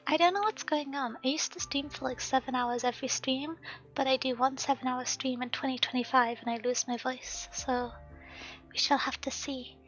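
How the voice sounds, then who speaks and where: Soft-spoken woman streamer, slightly hoarse. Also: high voice, steady tone, normal speed, lo-fi sound. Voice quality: softly spoken. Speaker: game streamer. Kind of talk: casual live-stream chat while gaming. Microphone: desk microphone at home. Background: faint background music.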